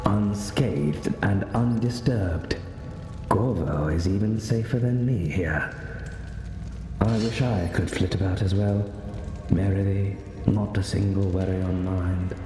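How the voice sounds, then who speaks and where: An actor playing a calm, wry male mentor in a video game, speaking in a measured, formal, instructive way. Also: low-pitched. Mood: wry, composed. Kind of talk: video game cutscene acting. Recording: echoey hall reverb, music behind.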